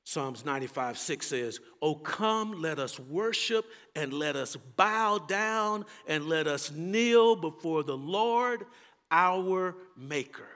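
A Texan man preaching with passion in a high, bright voice. He swings from loud, fervent calls to quiet, low asides. His voice rings with the long reverb of a big church hall. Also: expressive, slow. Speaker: pastor. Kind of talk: sermon.

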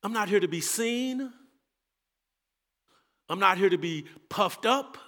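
A Texan man preaching with passion in a high, bright voice. He swings from loud, fervent calls to quiet, low asides. His voice rings with the long reverb of a big church hall. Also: expressive, slow. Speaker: pastor. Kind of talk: sermon.

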